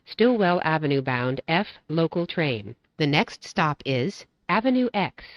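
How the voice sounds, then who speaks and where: Low-voiced woman making train announcements. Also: no echo, highs cut off. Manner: measured, formulaic delivery. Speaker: transit announcer. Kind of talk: scripted recorded train announcements.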